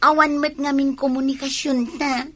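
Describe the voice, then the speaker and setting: A woman's voice: high voice, flat delivery, measured pace, a bit bright. A woman with an Ilocano accent acting as a cheerful, chatty middle-aged teacher in a radio drama, full of exclamations.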